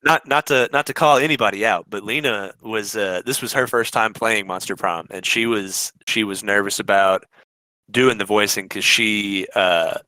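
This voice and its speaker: A man's voice: lowish voice, moderate pace, pushed and bright, dry recording, poor audio. A man chatting casually as a guest on a podcast. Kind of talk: casual chat.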